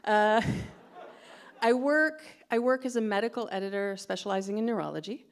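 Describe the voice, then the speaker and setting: A middle-aged American woman performs stand-up into a stand mic on a theatre stage. She talks in a chatty, giggly, self-deprecating way, with a pitch that sits in the middle for a woman. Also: normal speed, clear, resonant tone. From California. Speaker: stand-up comedian. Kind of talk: stand-up comedy set. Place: theatre stage before a live audience. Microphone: stand microphone.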